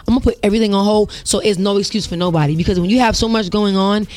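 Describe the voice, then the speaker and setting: Fast-talking young woman with a deep voice. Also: fairly even intonation, a little mellow, dry recording. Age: young adult. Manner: rapid, slangy and emphatic. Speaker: singer guest. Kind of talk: casual interview chat.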